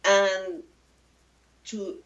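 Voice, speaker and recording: Woman filmmaker, slow and halting. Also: medium pitch, flat delivery, a bit bright, recorded from a distance, muffled recording. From Venezuela. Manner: halting, many restarts and fillers. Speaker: documentary filmmaker. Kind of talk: interview.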